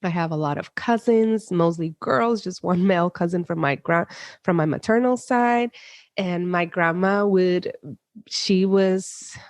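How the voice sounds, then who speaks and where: A Puerto Rican woman in her thirties, a psychologist, talks through her memories in a relaxed interview. Her voice sits slightly low. It is recorded close and dry, with restarts and fillers woven through her stories. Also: a little slow, no room sound. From Puerto Rico. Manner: warm storytelling with many fillers and restarts. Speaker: psychologist guest. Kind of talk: casual interview conversation.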